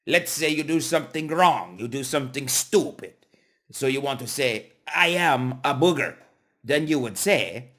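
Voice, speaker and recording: A man playing a goofy, self-styled French language teacher in a comic lesson, speaking with deliberate, drawn-out teacherly care. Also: mid-range voice, fairly bright tone, heavily acted. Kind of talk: comic instructional monologue.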